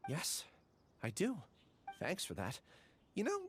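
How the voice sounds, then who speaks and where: A man's voice: on the high side, wildly swooping pitch, takes it slowly, fairly bright tone, full character voice. An actor playing a troubled, earnest young man in video game dialogue, hesitant and apologetic. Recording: background noise from game stream.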